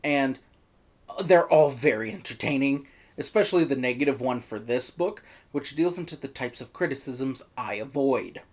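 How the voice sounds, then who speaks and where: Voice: mid-range voice, lively intonation, normal speed. A reviewer narrating a scripted video review in an opinionated way, with wry, exasperated asides. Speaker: reviewer, host.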